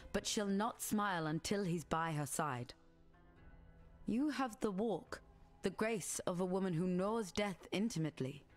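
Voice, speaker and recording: An actress playing a grave, dignified madam of a wasteland refuge in a video game, speaking in a measured, solemn way. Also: female voice, lowish voice. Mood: earnest plea. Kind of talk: scripted game character dialogue. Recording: music behind the voice.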